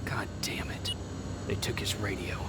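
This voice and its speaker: A man's voice: on the low side, big pitch swings, measured pace, in a whisper. A man playing a grim, wary resistance soldier in a dramatic video game. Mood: hushed, grim. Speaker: soldier protagonist and narrator. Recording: loud music under the voice.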